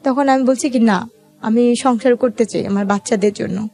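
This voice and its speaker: A woman's voice: on the high side, normal speed, gravelly, a little mellow, soft background music. A woman with a Bangladeshi accent telling her own life story as an interview guest, in colloquial, conversational speech.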